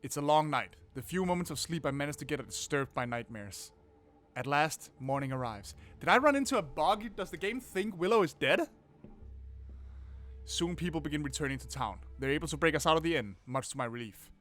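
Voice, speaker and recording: Higher-voiced man doing sweary game commentary. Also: brisk. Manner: casual, sweary, expressive. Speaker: gaming video host. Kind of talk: game playthrough commentary, reading narration aloud. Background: faint game music.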